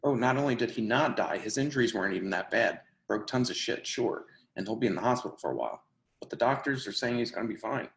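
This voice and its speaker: Crude, excitable young city tenant read by an actor, mid-pitched, stage dialogue, echoey call audio. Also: quick pace, gravelly. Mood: incredulous. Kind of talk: readers theatre play reading over video call. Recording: roomy video-call sound.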